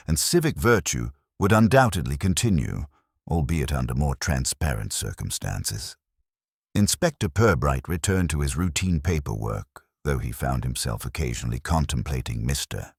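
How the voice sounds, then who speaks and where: A man reading scripted mystery narration in a formal, literary style, with measured and precise delivery. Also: rumbling low, no room sound, clean studio sound.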